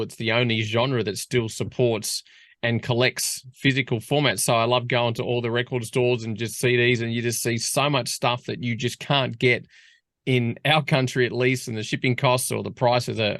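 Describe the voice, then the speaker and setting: Chatty Australian male host, mid pitch, dry close sound. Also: fairly bright tone, no echo. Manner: rambling, chatty questions. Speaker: radio host. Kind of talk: casual interview questions.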